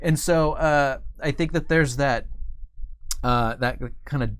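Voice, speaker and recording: A man's voice: a little high, takes it slowly, clean tone. A young adult man hosting a casual chat, rambling with restarts and frequent laughter as he interviews a player. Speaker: host.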